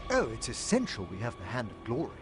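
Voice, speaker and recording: An actor playing a refined, earnest scholarly necromancer in a video game, with formal, eloquent and carefully worded speech. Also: male voice, mid-range voice, wildly swooping pitch, fast. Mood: composed, fascinated. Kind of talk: video game dialogue acting. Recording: music behind the voice.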